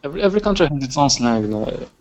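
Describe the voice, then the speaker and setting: A man from Morocco talks in English with a Moroccan accent. He is a native Arabic speaker in a casual conversation. He often pauses and restarts his sentences. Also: medium pitch, very animated. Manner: hesitant, with frequent restarts and fillers. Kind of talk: casual chat.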